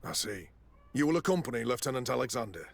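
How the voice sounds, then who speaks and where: An actor playing a stern but fair military quartermaster in a video game, with a formal, authoritative manner. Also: male voice, medium pitch, very animated, fast, creaky, dry recording. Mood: stern, official. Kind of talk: scripted video game acting.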